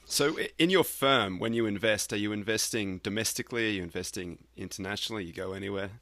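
Low, bright-voiced male podcast host. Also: quick pace, dry recording. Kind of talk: conversational interview questions.

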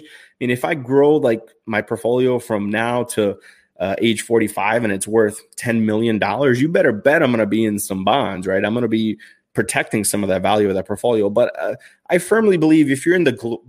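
A man in his thirties hosting a live stream, answering viewer questions in a chatty, upbeat way with lots of fillers and restarts. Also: mid-range voice. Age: 30s. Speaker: finance livestream host.

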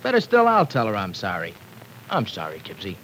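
A sarcastic young tough from the city streets, his voice swinging widely between sneering highs and sulky lows in slangy old radio style. A faint hiss of an old transcription sits under him. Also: wildly swooping pitch, fairly quick, fairly bright tone, low-quality recording. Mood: bitter. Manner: slangy tough-guy talk, sarcastic and bitter. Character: tough streetwise young man from a city gang, sore and defensive. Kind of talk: 1940s radio acting.

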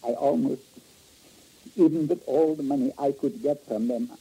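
A man's voice: mid-range voice, normal speed, vocal fry, warm tone, husky. An actor playing an oily, greedy male local guide, stammering and flowery, in an old radio drama. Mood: confessing. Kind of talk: 1950s radio drama acting. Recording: muffled old radio broadcast.

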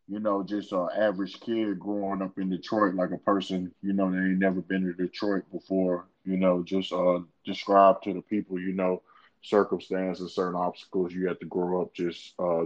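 Deep-voiced podcast host, dull sound. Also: male voice, level tone. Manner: halting, many "uh" fillers and restarts, calls the guest bro. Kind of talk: casual interview questions.

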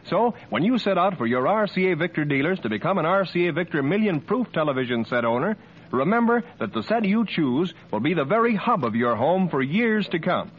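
High, animated American radio ad man. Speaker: commercial announcer. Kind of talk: scripted 1940s radio advertising read. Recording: muffled old radio recording.

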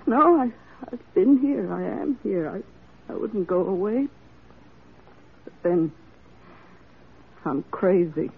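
A performer playing a melancholy, broken woman prisoner in a classic radio drama, speaking plaintively and haltingly. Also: medium pitch, creaky, breathy edge, heavily acted. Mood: hopeless, pleading. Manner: plaintive, halting, archaic rustic phrasing. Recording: tinny, noisy old radio drama recording.

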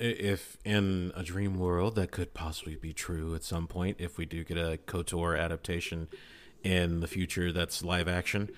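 A man's voice: low voice, fairly bright tone, clear, resonant tone. A man on a podcast panel talking informally, with emphatic, punchy reactions. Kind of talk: casual chat.

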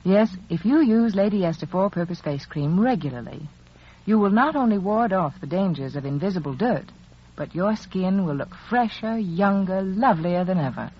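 A woman's voice: mid-range voice, lively intonation, normal speed, slightly rough. A woman with an American accent reading a scripted 1940s radio beauty advertisement as an expert. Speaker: beauty authority giving sponsor message. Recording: muffled, faintly noisy 1940s radio recording.

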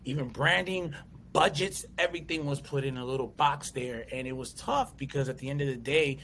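Gravelly animated male comedian. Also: medium pitch, brisk, slight background noise. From USA. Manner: animated storyteller, acts out voices and quotes. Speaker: guest comedian and actor. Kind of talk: casual interview chat.

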